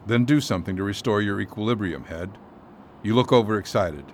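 An actor playing a shrewd, skeptical London solicitor in a radio drama, speaking in a measured, clipped way. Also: male voice, on the low side, slight background noise, lightly acted. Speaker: lawyer.

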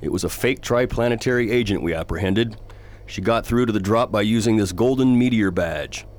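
A man plays a patrol chief in a science fiction radio drama. His voice is fairly low, and he speaks with composed, patient reason. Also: fairly quick, lightly acted. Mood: calm, assured.

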